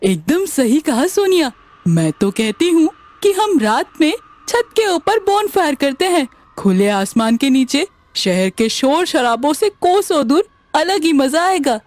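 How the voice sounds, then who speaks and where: Eager young female friend for animation, acted by a woman; high-pitched, very dry recording. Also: very animated, measured pace, a little mellow, no echo. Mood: eager, delighted. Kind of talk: animated story character dialogue.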